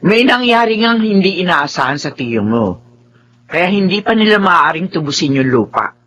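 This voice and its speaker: A woman's voice: on the low side, sing-song, moderate pace, fairly bright tone. An actress with a Filipino accent playing a firm, compassionate older mother in a radio drama. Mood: pleading, reproachful.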